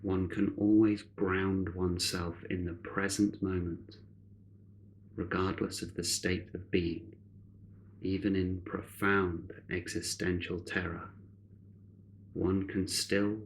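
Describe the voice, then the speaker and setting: Very slow, low-voiced man guiding meditation. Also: slight background noise, low-quality recording. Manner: measured, with long deliberate pauses between short phrases. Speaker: meditation guide. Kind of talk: guided meditation, spiritual narration.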